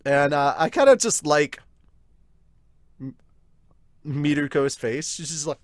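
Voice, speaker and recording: A man's voice: fairly high pitch, expressive, takes it slowly, no echo. Male podcast host, casual chatty reaction, dry close mic. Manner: chatty, laughs, restarts. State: hiccups. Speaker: podcast host reviewing manga.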